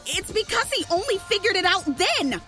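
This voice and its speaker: A woman's voice: very high-pitched, sing-song, normal speed, sharp tone, faint music behind, heavily acted. A woman playing a hot-headed, accusatory young woman in an audio drama, blunt, emphatic and insistent. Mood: heated, accusing. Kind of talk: fan audio drama acting.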